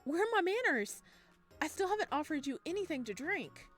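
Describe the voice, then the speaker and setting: A woman plays a friendly man hosting a guest in a visual novel. He is courteous and eager to please, with a gentle teasing edge. The voice sits fairly high over faint music. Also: very animated, a little fast. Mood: eager, welcoming.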